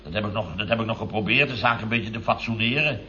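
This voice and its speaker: An actor playing a blustering, aggrieved building contractor boss, telling of his mishaps with indignant, drawn-out emphasis in 1970s radio comedy style. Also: fairly low pitch, pushed and bright. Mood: exasperated. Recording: dull old radio recording.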